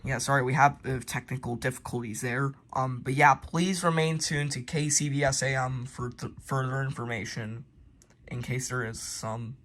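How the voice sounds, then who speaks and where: Male, mid-pitched, amateur mock-broadcast announcer; halting, casual delivery with self-corrections. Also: flat delivery, fairly bright tone. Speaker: narrator of a homemade emergency alert scenario.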